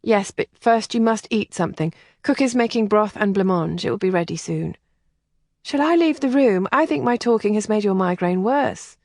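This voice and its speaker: Woman voicing a gentle, composed lady. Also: medium pitch, lively intonation, normal speed, no echo, studio quality. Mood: soothing. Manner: gentle and matter-of-fact. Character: composed, caring older female companion. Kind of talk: audiobook character voicing.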